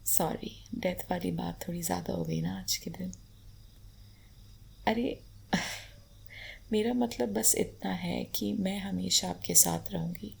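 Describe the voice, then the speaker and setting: Woman acting as a devoted wife, romantic ASMR roleplay, breathy half-whisper, very heavy vocal fry, lowish pitch, tender and teasing. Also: a little mellow, exaggerated voice. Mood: playful, adoring. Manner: tender, lightly teasing, unhurried. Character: affectionate, playful, devoted Indian wife adoring her husband. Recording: faint background noise.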